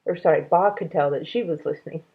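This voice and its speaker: A woman's voice: on the low side, quick pace, husky. A woman reading a children's novel aloud as a storyteller. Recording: band-limited, tinny sound.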